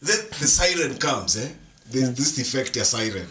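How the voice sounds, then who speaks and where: A man co-hosting a casual podcast. He tells stories in an animated way, laughs often and mixes Swahili into his English. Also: medium pitch, normal speed. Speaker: podcast co-host. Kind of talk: casual chat.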